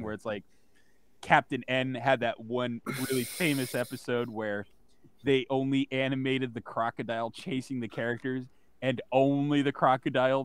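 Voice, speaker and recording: A man co-hosting a casual podcast, rambling through long animated stories with some swearing. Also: mid-range voice, smooth. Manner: rambling, animated storyteller, profane. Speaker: podcast co-host.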